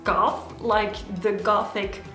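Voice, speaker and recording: A woman's voice: medium pitch, steady tone, takes it slowly, a bit bright, faint music behind. Woman vlogging in an echoey room. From Belgium. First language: Dutch. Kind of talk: personal monologue to camera.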